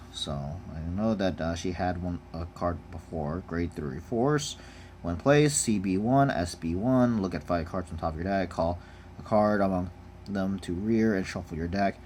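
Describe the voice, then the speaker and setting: Noticeable background noise surrounds a low-voiced man making a casual unboxing video. He speaks in a laid-back, off-the-cuff way, like a hobbyist looking over cards and reading them out. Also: a little mellow. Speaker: card game unboxing vlogger. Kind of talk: casual off-the-cuff card review.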